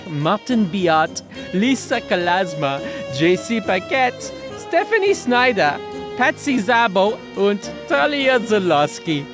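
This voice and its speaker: Woman announcer, slow credits read, music underneath. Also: medium pitch. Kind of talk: scripted credits reading.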